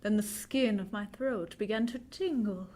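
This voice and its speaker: A woman's voice: medium pitch, moderate pace, heavy background noise, lightly acted. An actress playing a frightened young Victorian woman, telling her tale dramatically in a vintage radio drama. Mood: entranced dread.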